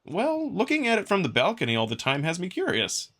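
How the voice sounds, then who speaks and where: A man's voice: high-pitched, wildly swooping pitch, a little fast, fairly bright tone, voice pushed up, full character voice. A man voicing a curious, anxious young human with a hesitant, placating way of talking.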